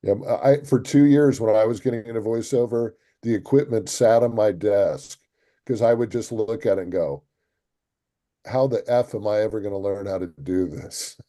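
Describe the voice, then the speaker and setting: A man's voice: lowish voice, dark tone. A man co-hosting a podcast as a voice actor, talking earnestly and encouragingly in casual chat.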